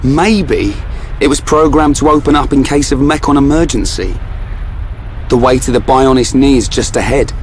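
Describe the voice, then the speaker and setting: A man's voice: medium pitch, moderate pace, slight background noise, natural voice. A man playing a cocky, loyal young sidekick in a video game, breezy and colloquial. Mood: practical, eager. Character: cocky, loyal young male sidekick, plain-spoken and upbeat. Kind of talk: video game cutscene acting.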